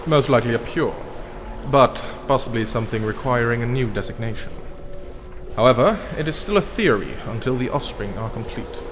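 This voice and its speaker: A man's voice: medium pitch, animated, fairly quick, natural voice. A man acts out a scheming vampire researcher in a fantasy drama. His voice is mid-range, formal and coldly clinical. It carries long reverb over noticeable background noise. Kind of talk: scripted fantasy voice acting.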